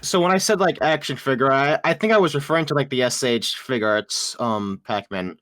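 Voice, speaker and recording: A young man of about twenty chatting as a podcast guest. He rambles, stringing his thoughts together with "like" and "you know". His voice is on the low side and sounds dry and close to the mic. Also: fairly quick, a bit bright, no echo. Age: young adult. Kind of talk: casual group chat.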